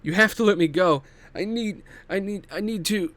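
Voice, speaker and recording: A man's voice: high voice, normal speed, fairly bright tone, voice pushed up. A man voicing a frantic male hologram doctor, a former officer on the run, speaking urgently in a sci-fi table read. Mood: frantic, pleading.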